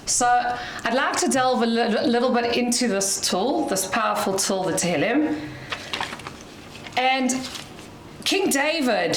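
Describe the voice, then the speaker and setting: A South African woman speaks slowly and loudly in a somewhat high voice, giving an inspirational talk with chatty asides and light laughs. The sound is echoey, as in a hall, with a faint hum behind her. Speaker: guest speaker at a community dinner.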